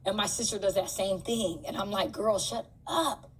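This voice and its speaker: A woman's voice: mid-range voice, moderate pace. An older woman chatting casually on a podcast, talkative and laid-back. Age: older adult. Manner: talkative, laid-back, says she is loud. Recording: distant mic with faint room noise.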